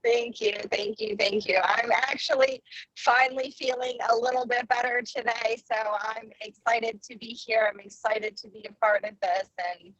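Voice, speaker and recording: A woman's voice: fairly high pitch, steady tone, moderate pace, fairly bright tone, no room sound, lo-fi sound. A woman turning forty, joining a video call while driving in her car, emotional and grateful. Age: 40s. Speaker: retreat participant on a video call.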